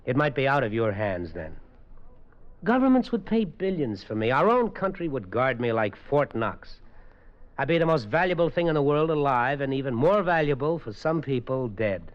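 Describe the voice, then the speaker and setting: A man's voice: moderate pace, lightly acted. An actor playing a thoughtful man with trained super senses, narrating and talking in a 1950s radio drama. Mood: resigned. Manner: earnest, thoughtful, lively in pitch. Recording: dull old radio broadcast with faint music.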